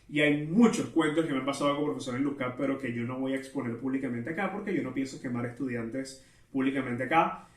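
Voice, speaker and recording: A man with a Venezuelan Spanish accent hosting a solo podcast, chatting casually in a self-aware, digressive way. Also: on the high side. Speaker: podcast host. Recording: roomy echo, distant from mic.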